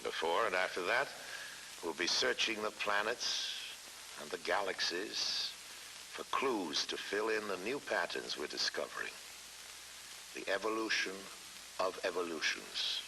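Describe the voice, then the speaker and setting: Very deep, gravelly male narrator. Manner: measured, dramatic pauses. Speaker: documentary narrator. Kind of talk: scripted documentary narration. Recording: hissy vintage 1970s film soundtrack.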